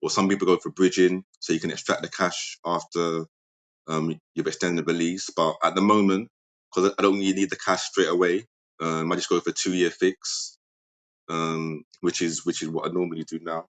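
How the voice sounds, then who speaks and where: A man, a property investor, calmly walking through his deal strategy over a remote audio chat, with a lot of ums. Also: sits low, normal speed. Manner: steady, methodical, many ums. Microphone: remote audio-chat connection.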